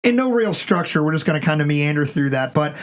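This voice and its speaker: An American man hosting a podcast, joking and chatting casually, with loud showman introductions. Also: fairly high pitch, animated, fast talker. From United States. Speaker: podcast host.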